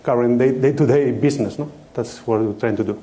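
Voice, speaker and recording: Man, dark joking voice. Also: mid-range voice, fairly quick. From Spain. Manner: joking, repeats words, tag "no?". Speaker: IT director, panelist. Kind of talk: conference panel answer.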